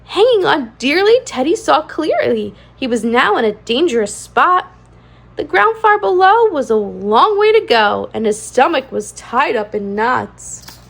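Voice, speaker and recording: A woman reading a children's storybook aloud in an animated style, with a singsong cadence and expressive emphasis. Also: sits high, measured pace, creaky. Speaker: children's read-aloud channel host.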